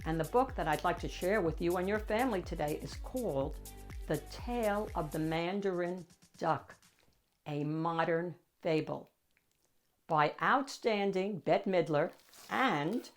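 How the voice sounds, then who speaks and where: A woman reading a picture book aloud as a storytime host, addressing young listeners with dramatic pauses and drawn-out key words. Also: on the low side.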